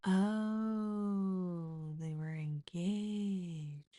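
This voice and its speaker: A woman's voice: mid-range voice, very slow, mellow. A woman hosting a live stream, casually reacting to a crime show in a blunt, exclaiming way and thinking aloud.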